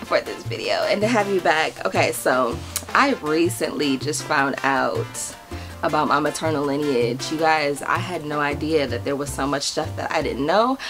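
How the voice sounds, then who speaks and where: Brief: young American woman vlogger, lower voice, bubbly chatter, faint music bed. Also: normal speed. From United States. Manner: bubbly, giggly, chatty with many fillers. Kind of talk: casual vlog chat to camera.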